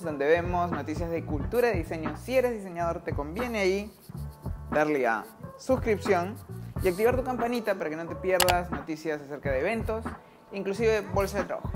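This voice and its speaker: A man's voice: high-pitched, expressive, normal speed, over music. A middle-aged man hosting a casual design news vlog from his studio, announcing each section with a lift. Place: studio room with chalkboard wall and desks. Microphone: earphone headset mic.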